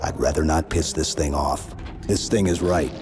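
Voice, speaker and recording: A man's voice: rumbling low, moderate pace, over loud music. A man playing a stoic, terse armored soldier in restrained military science-fiction game style.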